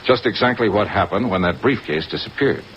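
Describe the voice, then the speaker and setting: An actor playing a wry, hard-boiled American insurance investigator with dry, clipped delivery in an old radio detective drama. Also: male voice, on the low side, fairly quick, slight background noise, natural voice. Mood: businesslike. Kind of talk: 1950s radio detective acting and narration. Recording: dull vintage radio recording.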